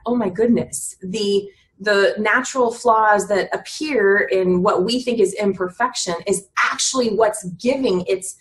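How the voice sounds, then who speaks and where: Earnest woman guest, slightly high voice. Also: a bit bright, a little hiss or hum, poor audio. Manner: earnest, stumbles and restarts, many "you know"s. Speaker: guest on a business podcast. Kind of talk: reflective conversational chat.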